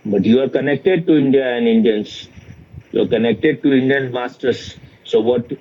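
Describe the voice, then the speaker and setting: A man giving an informal spiritual question-and-answer talk as a teacher, speaking in a halting, repetitive and bluntly opinionated way. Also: mid-range voice, dark tone. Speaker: spiritual teacher in dialogue with a student. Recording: dull, lo-fi sound.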